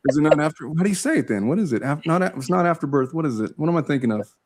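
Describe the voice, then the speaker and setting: A man's voice: on the high side, expressive, racing, a little mellow, a little hiss or hum. A man who works as a lawyer, chatting casually and joking on a livestream. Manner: joking, laughs often. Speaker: guest lawyer.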